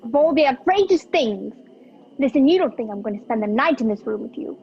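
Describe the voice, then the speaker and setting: Young woman acting a high-voiced, tart, unwilling companion. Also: expressive, fairly quick, gravelly. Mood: indignant. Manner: tart, sarcastic. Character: indignant, sharp-tongued woman unwillingly handcuffed to a fugitive. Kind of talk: amateur radio play acting. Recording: dull lo-fi video call audio. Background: faint music.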